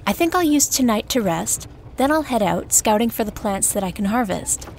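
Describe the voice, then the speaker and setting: A woman acts out an uneasy young-sounding village dye maker in a fantasy audio drama. Her voice sits fairly high and she speaks in a measured, pensive way over faint ambience. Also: lively intonation, creaky, a little mellow, a little hiss or hum. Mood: easing, practical.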